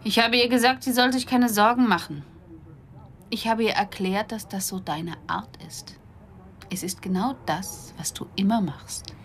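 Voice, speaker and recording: An actress playing a hurt, bitter wife in a German radio drama, scornful and reproachful. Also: female voice, mid-range voice, measured pace, a little hiss or hum, close to an everyday voice. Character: hurt, bitter wife confronting her cheating husband. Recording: studio radio play with music behind.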